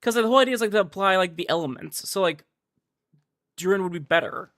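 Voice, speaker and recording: Lively higher-voiced man streaming a game. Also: fast, dry recording. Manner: excitable, informal, slangy. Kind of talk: casual livestream commentary and chat. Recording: game music behind the voice. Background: video game music.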